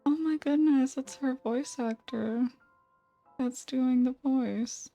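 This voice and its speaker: A woman giving cozy, quiet gameplay commentary, soft-spoken with delighted reactions. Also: high voice, slow pace, faint music behind. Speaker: gaming video commentator.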